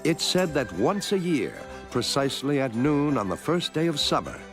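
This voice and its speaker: A man giving a measured, scripted narration for an educational film, explaining things clearly step by step. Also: mid-range voice, a bit bright. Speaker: documentary narrator.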